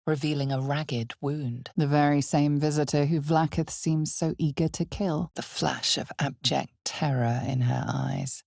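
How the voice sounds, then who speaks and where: A woman playing the narrator of a dark fantasy game, solemn and theatrical, with measured, deliberate phrasing and a hushed, breathy edge. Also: low voice, dry recording, clean studio sound, full character voice. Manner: measured, deliberate, theatrical delivery with weighted pauses.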